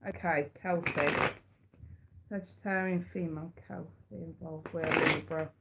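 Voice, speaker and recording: A woman's voice: low-pitched, fairly even intonation, measured pace, vocal fry, very noisy. A woman with a head cold, chatting on a live stream and giving tarot readings. She talks in a chatty way, repeats words and calls her viewers sweetheart and darling. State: congested with a cold. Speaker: tarot reader.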